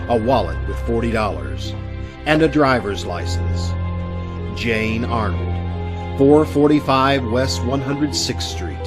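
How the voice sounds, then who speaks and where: A man plays a mysterious storyteller of radio suspense. Clear music runs behind his mid-pitched voice as he narrates deliberately and dramatically. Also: lightly acted. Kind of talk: scripted old-time radio narration.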